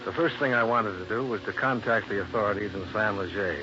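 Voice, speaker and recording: An actor playing a tough, dry American insurance investigator in hard-boiled old-time radio style. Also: male voice, lowish voice, a little fast, natural voice. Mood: grim, matter-of-fact. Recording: muffled, hissy old radio recording.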